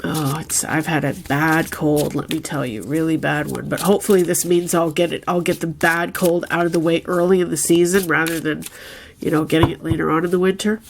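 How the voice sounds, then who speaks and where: A woman's voice: low-pitched, steady tone, a little fast, gravelly, slight background noise. A woman with a stuffed-up, nasal voice from a head cold, chatting casually through a weekly tarot reading and often checking in with "okay?". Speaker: tarot reader.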